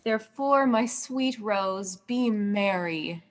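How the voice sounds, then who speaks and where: A woman's voice: mid-range voice, slow pace, natural voice. A woman playing a young, loyal, witty princess in a Shakespearean radio play. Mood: coaxing, tender. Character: young princess, loyal, bright-witted cousin.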